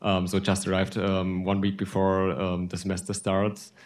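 A twenty-three-year-old man from Germany, a student panelist, hesitant with many pauses. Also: low voice, completely flat delivery. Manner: hesitant, many ums between phrases.

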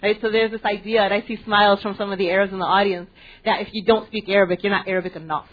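A woman's voice: mid-range voice, steady tone, very fast. American woman talking to an audience, tinny sound. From United States. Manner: engaging, asks audience questions, frequent ums and restarts. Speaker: panel moderator addressing a student audience. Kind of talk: public talk with audience interaction. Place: library event space. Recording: tinny phone-like recording.

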